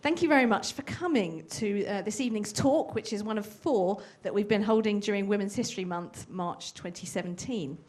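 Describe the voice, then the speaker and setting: A woman's voice: medium pitch, a little mellow. A woman archivist welcoming an audience, going through housekeeping notes and introducing the speaker in a businesslike way. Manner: brisk, businesslike announcing. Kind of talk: formal event introduction.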